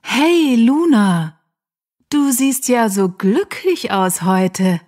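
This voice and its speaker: A woman playing a lively, curious friend. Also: a little high, very slow, exaggerated voice. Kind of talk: scripted textbook dialogue.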